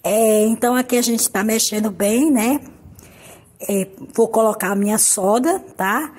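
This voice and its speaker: A woman from Brazil hosting a home soap-making tutorial, hoarse from a cold, talking in a chatty, affectionate way. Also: on the high side, a little slow, darkish tone. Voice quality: husky. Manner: chatty, affectionate, repeats "tá, gente?". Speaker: soap-making channel host. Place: home kitchen counter. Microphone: phone camera on the table.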